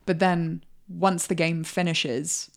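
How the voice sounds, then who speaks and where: Laughing woman co-host, lowish voice. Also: level tone, takes it slowly, creaky. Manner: playful, laughs often, joking. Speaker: podcast co-host. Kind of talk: casual film chat.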